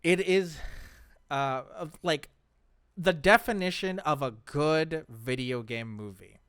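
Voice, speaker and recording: A man's voice: fairly high pitch, slow pace, a bit bright, clear, resonant tone, no room sound, studio quality. A man co-hosting a casual chat show, talking enthusiastically and emphatically about his favourites. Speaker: podcast co-host.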